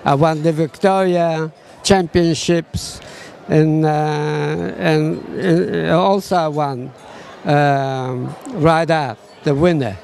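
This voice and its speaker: A man's voice: on the high side, steady tone, drawn-out words, gravelly, darkish tone. A man, giving short, plain answers in an outdoor interview. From Poland. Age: 80s.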